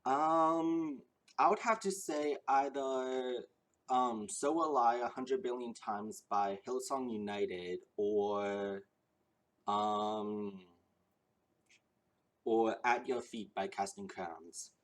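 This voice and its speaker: A man's voice: mid-range voice, very slow, poor audio. A young man answering viewer questions in a casual chat, earnest and eager, rambling with ums and restarts, a little out of breath. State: slightly out of breath.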